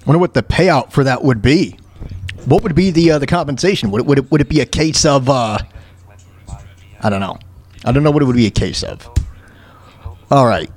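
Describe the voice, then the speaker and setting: A man's voice: medium pitch, lively intonation, a little fast, long pauses, a little mellow. A man hosting a talk show with casual, opinionated commentary, often stammering and repeating his phrases as he goes. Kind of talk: casual off-the-cuff radio commentary.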